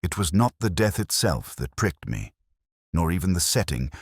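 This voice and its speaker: Very deep, brooding detective narrator played by a man. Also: big pitch swings, husky, dry recording, studio quality, full character voice. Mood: weary, reflective. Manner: measured, literary, wry and brooding. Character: weary, sharp-minded, melancholy older male police detective. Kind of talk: scripted first-person detective narration.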